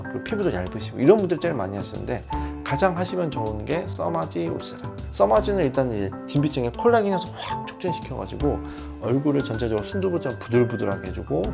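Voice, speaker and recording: A man's voice: mid-range voice, creaky. A man who is a skin doctor, explaining a cosmetic procedure and giving advice to viewers. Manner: explanatory, advising with examples. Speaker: dermatologist.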